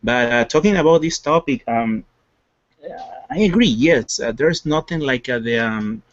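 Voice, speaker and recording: A man's voice: medium pitch, expressive, unhurried, no echo. Peruvian-accented man, dry lo-fi call audio. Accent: Peruvian Spanish. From Peru. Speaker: collector and guest panelist. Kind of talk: casual chat, self-introduction. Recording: dull lo-fi video-call audio.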